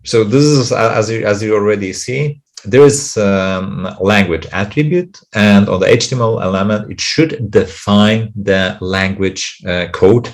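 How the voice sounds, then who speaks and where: Gravelly man teaching. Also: lowish voice. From Poland. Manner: halting, frequent fillers and restarts, non-native grammar. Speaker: accessibility testing presenter. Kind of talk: live screen-share tutorial.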